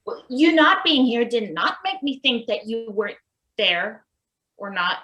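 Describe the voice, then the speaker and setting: A woman's voice: fairly high pitch, sing-song, unhurried, pushed and bright, highs cut off, full character voice. A woman playing a timid, evasive maintenance worker, meek and hesitant, in a table read of a satirical play. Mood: hesitant, defensive.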